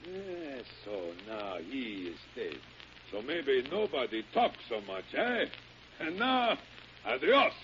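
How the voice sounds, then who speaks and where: An actor playing a gloating, sadistic pirate captain in old radio drama, menacing, in broken English with a Spanish accent. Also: male voice, mid-range voice, big pitch swings, slow pace, fairly bright tone. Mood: cold gloating. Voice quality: broken English, cackling laugh. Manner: swaggering, menacing. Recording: muffled, noisy vintage radio recording.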